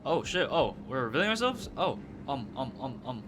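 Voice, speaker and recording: A man's voice: medium pitch, very animated, normal speed, noisy background. A man casually reacting live while streaming a video game.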